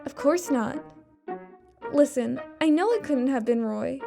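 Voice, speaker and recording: Woman acting a high-voiced, loyal college student. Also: expressive, a little slow, gravelly, over music, heavily acted. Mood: earnest, loyal. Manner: protective, emphatic. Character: loyal, firm young female college student. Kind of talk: scripted audio drama acting.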